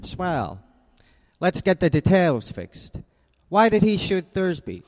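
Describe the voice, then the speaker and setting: An actor in a radio drama playing a tough, cool, wisecracking private detective with clipped, slangy, hard-nosed delivery. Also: male voice, sits high, very animated, slow, a little mellow, big-room sound, full character voice. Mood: brisk, dry. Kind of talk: hardboiled radio drama acting.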